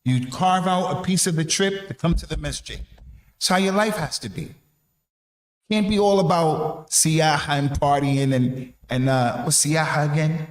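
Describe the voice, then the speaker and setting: A man's voice: fairly high pitch, unhurried. A man with an American accent giving an informal sermon, emphatic and colloquial, repeating key phrases and posing rhetorical questions. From North America. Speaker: preacher giving a religious reminder to youth. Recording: echoey room.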